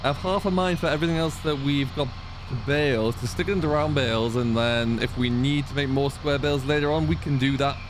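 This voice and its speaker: Male let's-play host, average pitch, relaxed roleplaying farmer musing aloud over clearly audible machinery noise. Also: brisk. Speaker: host of a farming simulator roleplay series. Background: tractor and machinery sounds from the game.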